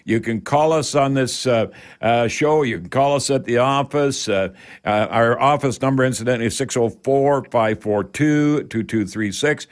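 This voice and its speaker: A man's voice: medium pitch, moderate pace. An older Canadian man hosting a home improvement call-in radio show in a folksy, rambling, emphatic way, speaking straight to his listeners.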